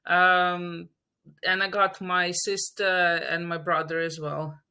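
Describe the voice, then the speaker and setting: A woman's voice: lowish voice, little pitch movement, slow pace, bright, forceful tone, clear, resonant tone. A woman from Latvia, a native Russian speaker, chatting as an interview guest in English, hesitant and laughing often. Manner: hesitant, many fillers and restarts, laughs often. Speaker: podcast guest. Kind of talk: casual interview chat.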